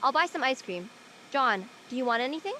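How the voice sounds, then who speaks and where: A woman acts out a practical teenage girl in a conversational audio drama. Her voice sits fairly high and swings freely in pitch, with a casual, matter-of-fact delivery over clear background noise. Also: lively intonation, brisk, bright, forceful tone. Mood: friendly, casual. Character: Jackie, practical seventeen-year-old high school girl. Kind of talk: conversational acting in an online audio play.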